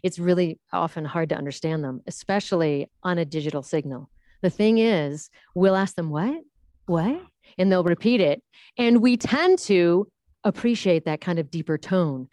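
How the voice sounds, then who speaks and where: A woman explaining how breath shapes the voice in an interview, demonstrating with sudden high and low pitches. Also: normal speed, a little mellow, no echo, clean studio sound. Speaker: voice coach.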